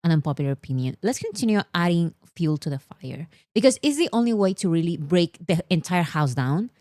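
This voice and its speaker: A woman's voice: fairly low pitch, big pitch swings, a little fast, a little mellow, no room sound, studio quality. A woman chatting casually as a livestream host, playful and prone to giggling. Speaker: host of a pop culture livestream. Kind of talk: casual chat.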